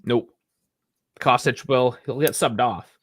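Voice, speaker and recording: A man's voice: medium pitch, takes it slowly, fairly bright tone, no echo. A man in the middle of his range chats loosely as a podcast co-host, rambling between points and laughing often. His voice sits dry and close, with no room sound around it. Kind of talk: casual sports chat.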